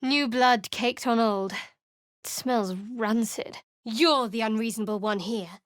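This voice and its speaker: An actress voicing a guarded, sardonic young woman cleric of a dark goddess in a video game, speaking in a cool, dry and wary way. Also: a little high, slow pace, no echo. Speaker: game companion character.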